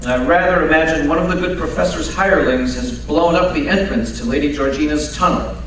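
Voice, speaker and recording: A man's voice: a little high, brisk, a bit bright, lightly acted. A man playing a confident, brilliant detective in a radio mystery, precise, unruffled and dryly commanding. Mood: brisk, commanding. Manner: precise, authoritative, unruffled explaining. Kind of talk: old-time radio mystery acting. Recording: very echoey hall, distant mic, faint noise.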